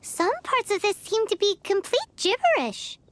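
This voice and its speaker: A woman voicing a tiny, excitable, cheeky fairy companion in a video game, with a squeaky childlike voice and perky, exaggerated delivery. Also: moderate pace. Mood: curious. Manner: perky, exaggerated, speaks in third person. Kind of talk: animated video game voice acting.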